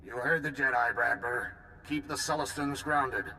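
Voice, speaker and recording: Game cast: a blunt military commander, bright, forceful tone, brisk orders. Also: male voice, mid-range voice, fairly even intonation, a little hiss or hum, rough recording. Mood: commanding. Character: male military supreme commander, decisive and blunt. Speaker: supreme commander. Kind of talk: game voice acting.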